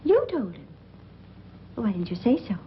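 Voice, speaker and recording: A woman's voice: mid-range voice, big pitch swings, normal speed, a little hiss or hum. An actress playing a fretful, strict and easily offended mother in 1940s film style, on a muffled old soundtrack. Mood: indignant. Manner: indignant, fussy.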